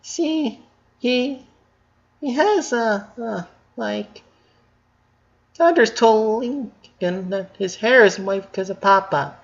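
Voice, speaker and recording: A man's voice: sits high, slow pace, gravelly, long pauses, muffled recording, voice pushed up, exaggerated voice. A man voicing a bubbly, scatterbrained, sweet teenage sister who talks in hesitant valley-girl phrasing in a story reading. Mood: hesitant reassurance.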